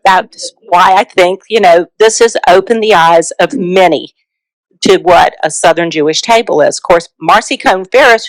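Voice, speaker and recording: A woman's voice: on the low side, no room sound, poor audio. A middle-aged woman from South Carolina, an author giving a chatty book talk with frequent fillers.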